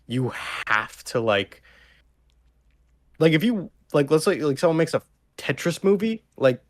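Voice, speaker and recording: A man co-hosting a podcast, arguing casually and haltingly, often checking that the listener follows. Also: fairly high pitch, sing-song, dry recording, clean studio sound. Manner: halting, repetitive, seeking agreement with 'you know'. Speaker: podcast co-host. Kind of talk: casual conversational debate.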